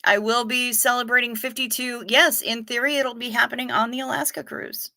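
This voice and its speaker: A woman's voice: on the high side, steady tone, a little fast, bright, forceful tone, no room sound, studio quality. A woman in her fifties hosting a casual livestream, reading chat comments aloud in a chatty, wry way with casual swearing. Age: 50s. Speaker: livestream host.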